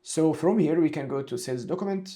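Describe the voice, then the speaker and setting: A man giving a step-by-step software tutorial, explaining each step methodically in a didactic way. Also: fairly high pitch, fast. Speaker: software instructor. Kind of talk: step-by-step tutorial narration of a screen demonstration.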